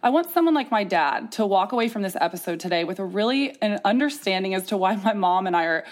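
A woman's voice: medium pitch, expressive, quick pace. A woman hosting a candid interview, talking casually with earnest emphasis. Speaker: podcast host.

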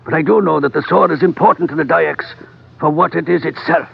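An earnest, resolute trader in a classic radio adventure. His voice has a clear creak and sits a little high, with formal, measured phrasing. The vintage recording sounds dull. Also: full character voice. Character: earnest, determined male trader in the colonial East. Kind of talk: old-time radio drama acting.

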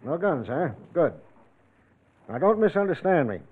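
An actor playing a brisk, suspicious country sheriff, blunt and clipped, in a classic radio crime drama. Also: male voice, on the high side, big pitch swings, a little hiss or hum. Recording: muffled, phone-like old radio recording.

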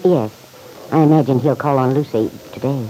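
A man playing a gentle, reasonable confidant in a classic radio serial. The voice is soft-edged and mellow, measured and sympathetic. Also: mid-range voice, slight background noise, lightly acted, low-quality recording. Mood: concerned, kindly. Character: kindly, earnest, reasonable confidant in a courtroom. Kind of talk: old-time radio drama acting.

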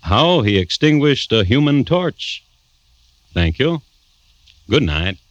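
An actor playing a wry, macabre crime-history host, narrating a 1950s radio drama deliberately and deadpan, with dramatic pauses. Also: male voice, medium pitch, dry recording. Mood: dry, macabre. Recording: dull, dry vintage radio recording.

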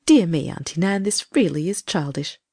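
A woman voicing a bossy, impatient, self-righteous farm wife in a story reading, brisk, scolding and condescending. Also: on the low side, lively intonation, dark tone, dry recording, studio quality, pitched below the performer's own voice.

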